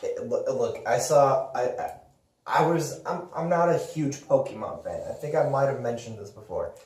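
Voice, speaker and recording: A man's voice: medium pitch, animated, moderate pace, a little mellow. A man co-hosting a podcast in casual, unscripted chat, speaking informally and emphatically, with phrases often restarted. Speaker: podcast co-host. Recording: echoey room, distant mic, noisy with clicks.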